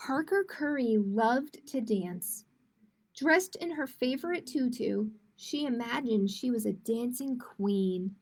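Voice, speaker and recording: A woman's voice: on the high side, animated, slow pace, a little mellow, breathy edge, low-quality recording. A woman hosting a library storytime, reading picture books aloud to children and explaining simply and encouragingly.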